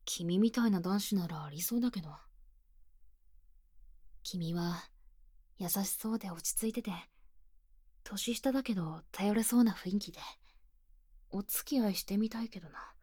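A woman's voice: mid-range voice, moderate pace, pauses often, warm tone, breathy edge, no echo, natural voice. A woman playing an earnest, shy schoolgirl, close and dry. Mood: self-deprecating, gentle. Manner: boyish, plain-spoken, hesitant, intimate, often dropping to near-whisper. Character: earnest, shy tomboyish senior high school club girl. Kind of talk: Japanese ASMR situation voice drama. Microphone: close binaural microphone, dry studio.

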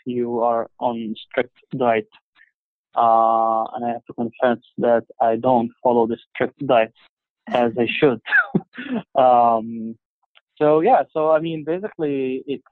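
Egyptian guy on a phone line, halting, lots of ums, dry and tinny. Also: medium pitch, dry recording. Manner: halting, many fillers and restarts. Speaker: interview guest. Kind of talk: casual conversation.

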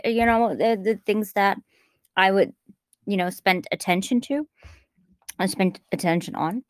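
A woman's voice: lowish voice, no echo, studio quality. A woman with an American-influenced English accent, co-hosting a podcast in casual, chatty talk that wanders off on tangents and restarts sentences often. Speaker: podcast co-host.

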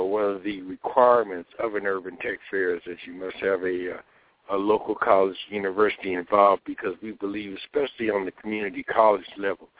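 A man hosting a radio talk show, speaking haltingly with many fillers. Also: lowish voice, no echo. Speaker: radio host. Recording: tinny phone-like radio audio.

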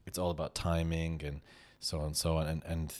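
Very deep-voiced man, rambling casual chat. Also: little pitch movement, a little fast, a little mellow. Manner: rambling, self-correcting, laughs often. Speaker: podcast co-host. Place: London.

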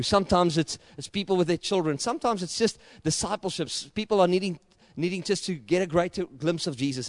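Passionate South African preacher, high voice. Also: male voice, no room sound, clean studio sound. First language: Afrikaans. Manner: passionate preaching, rising to loud emphatic peaks; repetitive rhetorical build-ups. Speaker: pastor. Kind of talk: sermon.

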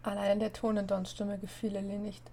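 A woman with a cold hosting a podcast and reading a story aloud in a steady, plain way. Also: mid-range voice, fairly quick, gravelly, slight background noise. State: has a cold. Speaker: narrator and host.

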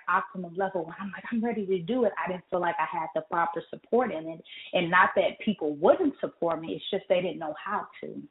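Lower-voiced california lady guest, tinny phone sound, kinda echoey, lots of ums. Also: slight background noise. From California, United States. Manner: thoughtful, many fillers. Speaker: guest, author and poet. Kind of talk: casual interview chat.

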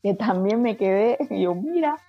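A woman from Barranquilla with a Colombian Caribbean accent tinged by Spain, slightly husky, telling anecdotes conversationally as an interview guest and acting out quoted exchanges. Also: mid-range voice, moderate pace, dry recording, highs cut off. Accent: Colombian Caribbean with Spanish influence.

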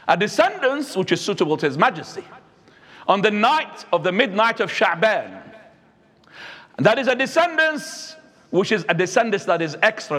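A man, preaching a sermon as an imam, swinging between high and low. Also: a bit bright. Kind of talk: Friday sermon. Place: mosque. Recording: echoey hall.

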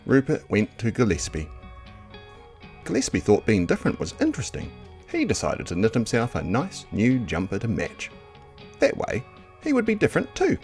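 A man reading a children's picture book aloud as narrator, in an expressive, measured storytelling delivery. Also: medium pitch, soft background music.

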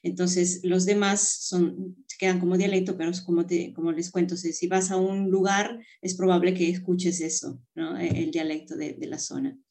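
A woman's voice: fairly low pitch, level tone, normal speed, hardly any pauses, dark tone. A woman talking as a panel guest, explaining things conversationally and often tagging phrases with a short checking question.

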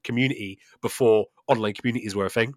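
Male podcast co-host, quick and excitable, lowish voice, casual gaming chat, close dry sound. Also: no room sound. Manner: enthusiastic, tags statements with "right?". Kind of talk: casual chat about video games.

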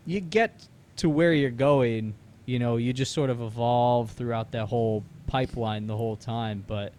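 A man's voice: mid-range voice, moderate pace, darkish tone, slight background noise. A man in his thirties interviewing casually, with a rambling, slangy way of feeling out his sentences. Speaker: interviewer, musician.

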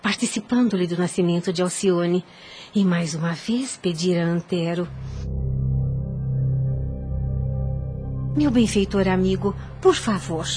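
A woman's voice: medium pitch, darkish tone, natural voice. A frail young mother in anguish speaks in formal, old-fashioned Brazilian Portuguese phrasing, acted by a woman. It is earnest radio soap melodrama, with music faintly underneath. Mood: anxious, pleading. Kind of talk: radio soap opera acting.

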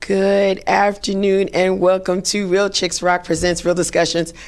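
A woman hosting a podcast in a chatty, upbeat way, her voice a little raspy today. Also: mid-range voice, level tone, a little slow, a little mellow, faint music behind. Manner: upbeat, chatty, many fillers. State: slightly raspy voice. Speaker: podcast host. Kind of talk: casual solo podcast talk.

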